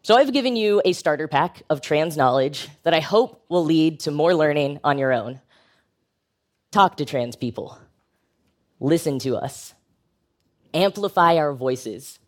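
A man's voice: a little high, animated, unhurried, long pauses. American man giving a wry, conversational talk. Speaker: public speaker. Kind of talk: prepared live talk, humorous and educational.

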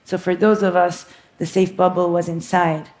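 A woman in her thirties from Lebanon, a filmmaker giving an earnest, reflective talk on stage with soft pauses. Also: fairly low pitch, flat delivery.

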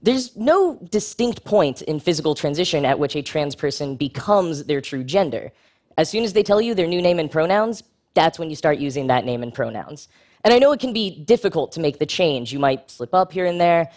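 A man giving a prepared public talk with a wry, frank, humorous delivery and lively jumps in pitch for emphasis. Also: normal speed.

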